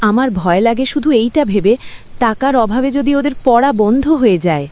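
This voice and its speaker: A woman voicing a gentle, hopeful village mother. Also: a little high, lively intonation, moderate pace, natural voice. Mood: tender. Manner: soothing, reassuring. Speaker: cartoon voice actor. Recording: loud cricket and insect noise.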